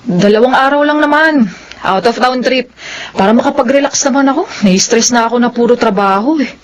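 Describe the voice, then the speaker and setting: A woman's voice: fairly high pitch, a little fast, lightly acted. A woman with a Filipino accent playing a friendly, assertive working girlfriend in a radio drama. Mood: breezy banter. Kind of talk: Tagalog radio drama acting.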